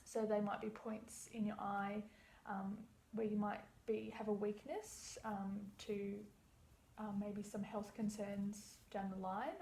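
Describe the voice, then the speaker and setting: Gravelly-voiced woman practitioner. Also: mid-range voice, darkish tone. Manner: explains step by step, reassuring. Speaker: iridology practitioner. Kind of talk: practice consultation. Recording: echoey room, faint background hum.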